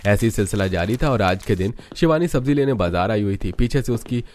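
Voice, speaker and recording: Guy w/ lowish voice narrating a cartoon story, scripted storybook style. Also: lively intonation, a little fast, darkish tone.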